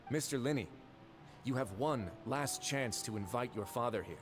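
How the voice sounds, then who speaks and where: An actor playing a calculating, unhurried fortress warden in a video game, speaking with polite, measured, sardonic menace. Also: male voice, medium pitch, noisy background, close to an everyday voice. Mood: stern, final.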